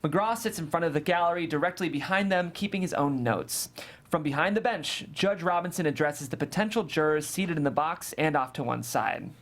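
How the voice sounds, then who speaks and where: A man's voice: fairly high pitch, lively intonation, brisk, a bit bright. A man reading scene descriptions and stage directions as scripted narration at a table read.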